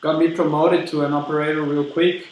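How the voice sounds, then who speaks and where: A man's voice: on the high side, level tone, recorded from a distance, close to an everyday voice. Young-sounding man as eager tycoon in echoey room. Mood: proud. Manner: earnest, halting, repeats words. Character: eager, polite Scottish-born steel tycoon being interviewed. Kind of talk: role-played historical interview. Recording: very echoey room with background noise.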